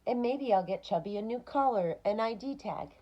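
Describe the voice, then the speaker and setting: A woman's voice: a little high, lively intonation, normal speed, warm tone, muffled recording, pitched above the performer's own voice, close to an everyday voice. A woman reading aloud as a doting, anxious female cat owner in a children's storybook. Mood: agreeable.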